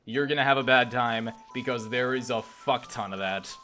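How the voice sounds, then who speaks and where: Bright-voiced young man, opinionated gamer. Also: medium pitch, normal speed, soft background music. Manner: opinionated, profane, emphatic. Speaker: let's play host. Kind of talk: casual gaming commentary.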